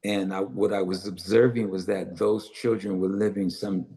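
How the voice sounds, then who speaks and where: An older man from Los Angeles, a guest author and publisher, answering interview questions with many pauses and fillers. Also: sits low, level tone, dark tone. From Los Angeles, United States. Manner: halting, many "uh" fillers and restarts. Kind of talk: conversational interview answers, then reading aloud.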